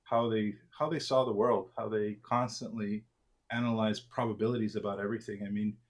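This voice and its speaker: A man in his forties, born in Argentina, answering interview questions in a relaxed, self-deprecating way with many fillers and restarts. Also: on the low side, a little fast. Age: 40s. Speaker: trader, interview guest.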